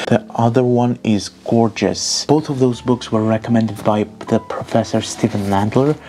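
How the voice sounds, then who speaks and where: Lowish-voiced man. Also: darkish tone. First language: Armenian. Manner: earnest, enthusiastic, careful phrasing with occasional fillers. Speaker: book channel host. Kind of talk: casual book haul talk to camera.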